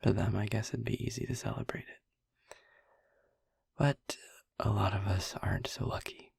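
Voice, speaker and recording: A man playing a brooding, tender vampire boyfriend in an intimate roleplay audio drama, speaking softly and haltingly in a breathy voice that often nears a whisper. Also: on the low side, fairly even intonation, no room sound, studio quality, exaggerated voice. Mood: somber, reflective. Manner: halting, soft, hesitant, with long pauses. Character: brooding, tender male vampire boyfriend, haunted by his turning.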